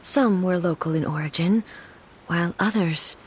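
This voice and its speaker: A woman voicing a calm, regal woman ruler in a video game, speaking in a measured, formal and quietly grave way. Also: fairly low pitch, slight background noise, natural voice.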